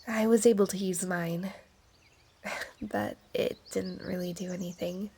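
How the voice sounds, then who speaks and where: A woman playing an informal, unsure young recruit in an audio drama, with a husky, creaky voice. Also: medium pitch, steady tone, measured pace, dark tone. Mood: puzzled, light.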